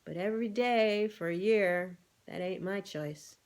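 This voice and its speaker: A woman's voice: medium pitch, unhurried, a little mellow, lightly acted. Woman reading as a humble, folksy storybook farm animal. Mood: wistful. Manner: plain rustic, rambling. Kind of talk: animal storybook read-aloud.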